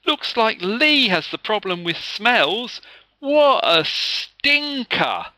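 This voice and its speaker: A teasing, excitable schoolkid, put on by a man reading a storybook. The voice jumps up high and sounds very bright and lively. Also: slow pace, dry recording, full character voice. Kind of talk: children's story read aloud.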